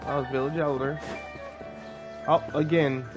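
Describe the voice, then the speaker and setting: A man's voice: on the high side, flat delivery, measured pace, dull audio. A man giving casual gameplay commentary, reacting informally in short asides. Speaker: game streamer. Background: game music.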